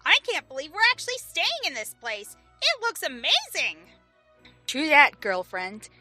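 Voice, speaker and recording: A man's voice: extremely high pitch, huge pitch swings, normal speed, sharp tone, soft background music, voice pushed up, exaggerated voice. A man voicing a chirpy, gushing teenage girl. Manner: gushing, chatty.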